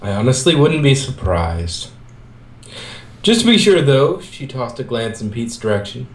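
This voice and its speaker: A man's voice: medium pitch, very animated, unhurried. A man reading fan fiction aloud, switching between scripted narration and character dialogue. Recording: echoey room, amateur recording.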